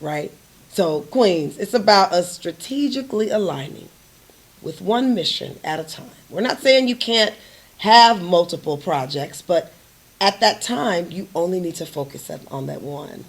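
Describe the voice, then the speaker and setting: A woman hosting an interview in a fairly low voice. She is lively and affirming, cheering her guest on and addressing her listeners directly. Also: measured pace, poor audio. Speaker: host.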